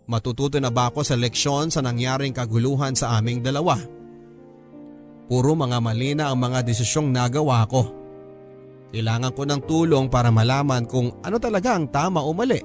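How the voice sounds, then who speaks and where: A man narrates a heartfelt letter for radio at a medium pitch. His delivery is earnest and pleading, like a scripted drama, with music clearly playing underneath. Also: a little fast. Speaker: radio host reading a listener's letter. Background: background music.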